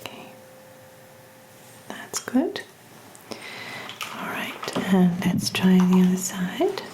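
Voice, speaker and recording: A woman playing a calm, attentive clinician in an ASMR roleplay, speaking in a breathy, gentle, reassuring whisper. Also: lowish voice, takes it slowly, low-quality recording. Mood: gentle, soothing. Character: calm, attentive clinician giving an ear exam. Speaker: ASMR performer. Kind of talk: soft-spoken ASMR roleplay.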